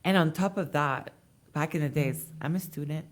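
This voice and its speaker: A woman speaks in a low register. As an interview guest she tells her story in an easy, chatty way, full of fillers. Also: moderate pace. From Montreal, Canada. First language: French. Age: 20s. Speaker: interview guest, bikini competitor. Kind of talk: casual interview talk.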